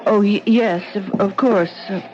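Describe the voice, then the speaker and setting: An actress playing a hesitant, flustered young wife, on a muffled old radio recording with faint hiss. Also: female voice, mid-range voice, fairly even intonation, unhurried, lightly acted.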